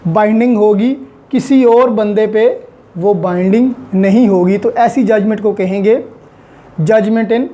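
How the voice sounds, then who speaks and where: Female law lecturer, Pakistani accent, soft-edged mellow tone, unhurried delivery, mid pitch, explains by example and repetition. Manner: didactic, repeats key points. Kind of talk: explanatory lecture with examples, switching between two languages.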